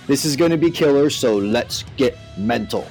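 A man with a medium-pitched voice hosts a podcast in a casual, slangy way. Guitar music plays behind him as he talks. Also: animated, moderate pace, darkish tone. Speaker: podcast host.

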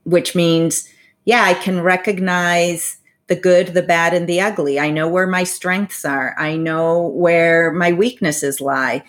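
A woman in her forties speaks in a fairly low voice, answering interview questions in a thoughtful, measured way. She pauses on her phrases and drops in casual "you know" asides. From United States, parents from Bolivia. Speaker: financial advisor, interview guest.

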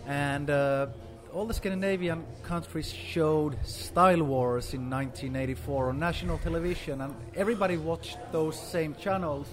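A man's voice: fairly high pitch, moderate pace, few pauses, music in the background. A man in his forties, from Finland and raised in Sweden, speaking casually with many fillers as an interview guest. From Finland, grew up in Sweden. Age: 40s. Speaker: guest artist.

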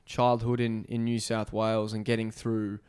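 A man hosting a casual interview, chatty and relaxed with frequent fillers. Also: lowish voice, moderate pace, clear, resonant tone, no room sound, studio quality. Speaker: podcast host.

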